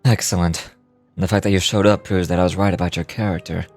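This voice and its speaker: Polite, earnest young male fantasy game character, lowish measured voice over game music. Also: fairly even intonation, a little mellow. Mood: earnest, persuasive. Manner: polite, honest-sounding, measured. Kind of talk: video game dialogue acting.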